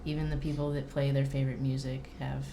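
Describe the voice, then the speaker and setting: Female podcast guest, very low voice, casual delivery, roomy sound with noticeable noise. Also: flat delivery, fast. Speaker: band drummer, podcast guest. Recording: echoey room, clear background noise.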